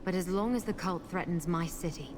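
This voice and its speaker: A woman's voice: on the low side, fairly quick, natural voice. An actress playing a woman with lost memories who has sworn to protect her city, speaking earnestly and steadily. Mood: resolute. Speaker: game character, city protector ally. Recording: game audio with background noise.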